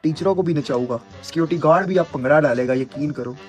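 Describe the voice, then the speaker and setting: A man's voice: on the high side, fast, a little mellow, soft background music. A young man doing prank call banter in a pleading, insistent, repetitive manner. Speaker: prank caller and show host.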